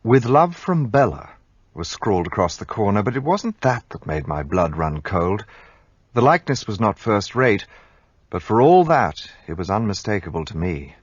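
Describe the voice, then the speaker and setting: An actor narrating in the first person as a gentleman, measured and storytelling. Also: on the low side, big pitch swings, husky, dry recording. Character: English gentleman narrator, looking back. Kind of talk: radio play narration. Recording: lo-fi recording with music behind.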